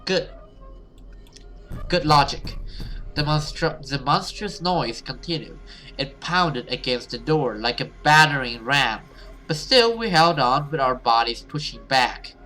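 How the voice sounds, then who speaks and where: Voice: mid-range voice, lively intonation, measured pace, bright, forceful tone, faint music behind, poor audio. A gaming video host who reads a horror story dramatically and adds casual commentary.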